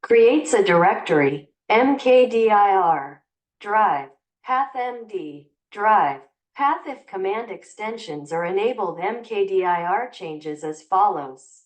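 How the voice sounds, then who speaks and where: A woman's voice: medium pitch, animated, takes it slowly. A synthetic text-to-speech woman's voice reads command documentation aloud and spells out letters one by one. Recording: played back from a distance.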